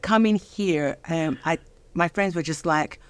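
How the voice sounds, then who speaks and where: A woman in her sixties with a London accent, a minister telling her story in a studio interview, animated, laughing often and slowing for emphasis. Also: sits low, smooth. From London, England. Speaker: guest, minister.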